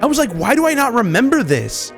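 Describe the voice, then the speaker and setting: A man giving casual, excitable reaction commentary as a gaming video host, with slangy, repetitive chat. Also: very high-pitched, a little fast. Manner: chatty, excitable, slangy, repeats himself. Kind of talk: casual reaction commentary to game images and clips. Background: background music.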